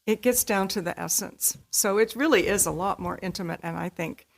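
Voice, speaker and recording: A woman's voice: mid-range voice, a little fast, vocal fry. A woman, a community theatre actor, explaining things conversationally as an interview guest.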